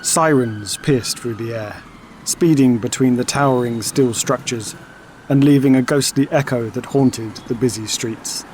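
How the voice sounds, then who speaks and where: A man giving scripted, dramatic narration for an audio story in a measured way. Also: medium pitch, darkish tone, a little hiss or hum. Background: music and sound effects.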